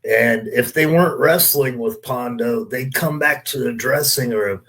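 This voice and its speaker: Male podcast panelist, ex-wrestler type. Medium pitch, casual storyteller, freely profane. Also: measured pace, fairly bright tone.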